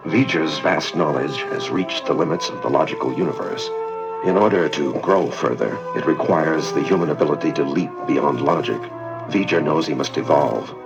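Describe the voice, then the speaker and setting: Grave male alien officer for sci-fi read-along: extremely low, creaky, deliberate, logical. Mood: thoughtful. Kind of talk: read-along record dramatization. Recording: dull vintage read-along record with music.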